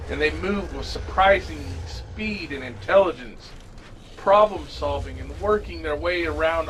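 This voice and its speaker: A man reading a scripted first-person horror story as an audio drama narrator, grave and dramatic with deliberate phrasing. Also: a little high, fairly bright tone, a little hiss or hum, poor audio. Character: male survivor recounting a zombie fungus apocalypse. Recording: amateur narration over music. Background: music.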